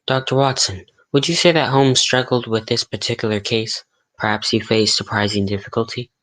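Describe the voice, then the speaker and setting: A man's voice: medium pitch, steady tone, normal speed, fairly bright tone, natural voice, rough recording. A man playing an eager, folksy announcer in the scripted style of 1940s radio. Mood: eager, chummy.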